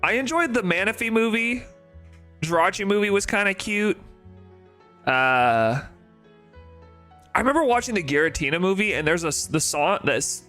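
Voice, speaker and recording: A man with a nasal voice chatting casually on a live stream, rambling and excitable, with faint music behind him. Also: a little high. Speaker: shiny-hunting live streamer.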